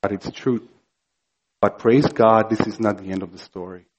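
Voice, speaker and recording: A man from Croatia with a Croatian accent, preaching a sermon earnestly and emphatically, slow and building to fervor. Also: on the low side, steady tone, darkish tone, a little hiss or hum, poor audio. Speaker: pastor.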